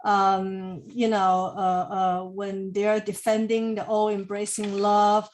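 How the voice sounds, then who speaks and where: A woman's voice: medium pitch, flat delivery, slow, a little mellow. A woman whose first language is Mandarin Chinese, sharing her thoughts in a discussion group with hesitant, filler-heavy English. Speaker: reading group member. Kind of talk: casual discussion.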